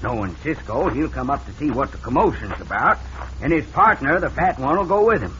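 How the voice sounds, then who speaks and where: An actor playing a scheming, smooth-talking outlaw ringleader with an American Western accent in an old radio drama. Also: male voice, mid-range voice, sing-song, brisk, fairly bright tone. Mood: persuasive scheming. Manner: smooth-talking, conniving, folksy. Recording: muffled, noisy vintage radio recording.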